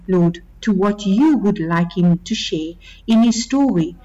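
A woman hosting a faith show, praying earnestly and then gently interviewing her guest. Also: medium pitch, muffled recording. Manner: earnest, prayerful, unhurried. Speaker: radio show host.

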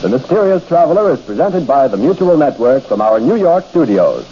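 Man as old-time network announcer: animated, mellow, formal and dramatic, muffled vintage radio sound. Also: medium pitch, fairly quick, husky. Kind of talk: 1940s radio announcing, scripted. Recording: dull old radio broadcast.

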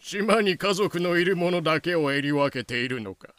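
A man's voice: fairly high pitch, lively intonation, moderate pace, a bit bright. A man playing a commanding, observant pirate who speaks with authority, in a fan-made voice drama. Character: commanding male pirate, observant, rallying his crew.

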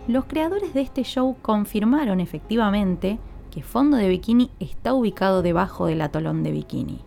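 Female podcast host. Dark, soft-edged timbre, mid-range pitch, clear explanatory scripted delivery aimed at the listener. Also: animated, moderate pace, faint music behind. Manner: explanatory, addresses listeners directly.

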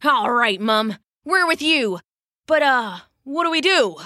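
A chilled-out werewolf surfer guy, his bright voice bouncing around a wide range as he drawls along unhurried, as a woman reading a storybook puts him on. The sound is dry and close. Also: sits high, animated, no echo, pitched above the performer's own voice, full character voice. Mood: laid-back. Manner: surfer slang, drawling and easygoing. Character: laid-back male werewolf surfer dude.

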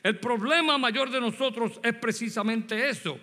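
A man's voice: very high voice, normal speed, pushed and bright. A Puerto Rican man in his sixties preaching a sermon, telling a story from his youth and asking the congregation direct questions. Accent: Puerto Rican Spanish. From Puerto Rico. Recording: long hall reverb.

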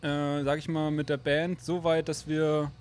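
Rambling, laughing German band member. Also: male voice, a little high, little pitch movement, unhurried, a little mellow, soft background music. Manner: rambling, laughs often, many fillers. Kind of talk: casual interview chat.

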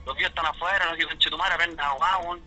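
A man's voice: on the high side, steady tone, racing, noisy background. A Chilean man arguing heatedly on a phone call in foul-mouthed, repetitive street slang. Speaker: prank call recipient. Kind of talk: heated informal phone argument. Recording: dull telephone line with music behind.

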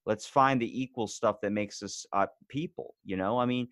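A man's voice: on the low side, normal speed, a bit bright, dry recording, studio quality. A man as a podcast guest in casual conversation, talking bluntly with plenty of swearing and rising energy. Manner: blunt, profane and fired-up.

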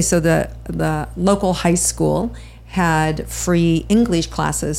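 A woman chatting on a podcast as host and spiritual channeler, rambling with quick laughs. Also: low-pitched, gravelly, darkish tone. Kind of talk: casual chat.

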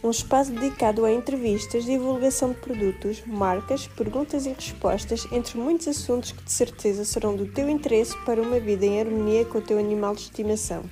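A woman hosting a podcast, chatty and often pausing on fillers as she introduces topics. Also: a little high, steady tone, dark tone, soft background music. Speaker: podcast host. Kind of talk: podcast interview.

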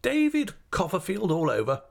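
A man's voice: on the high side, wildly swooping pitch, normal speed, breathy edge, voice pushed up, exaggerated voice. A man voicing a brusque, imperious older woman in an audiobook, speaking in emphatic, peremptory declarations. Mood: scornful. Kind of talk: audiobook character voice.

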